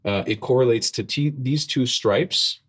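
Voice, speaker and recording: A man's voice: medium pitch, a little slow, a bit bright, breathy edge. A man, a podcast guest, explaining with emphasis in conversation, often repeating words as he starts a sentence.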